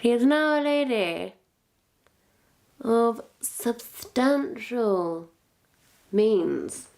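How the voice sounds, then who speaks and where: Lady doing a smug wicked witch roleplay, super slow and drawn out, crackly fry in the voice, pompous fancy wording. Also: on the high side, lively intonation, a little mellow, exaggerated voice. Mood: sly, gossiping. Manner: pompous, florid, savoring each phrase. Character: wicked, theatrical female witch, smugly toying with her captive. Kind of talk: suspenseful point-of-view roleplay monologue.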